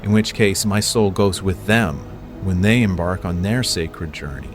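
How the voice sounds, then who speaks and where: Man playing a low, slow earnest young acolyte. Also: music in the background. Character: reluctant, loyal 18-year-old male mystery-school acolyte. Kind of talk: scripted audio drama.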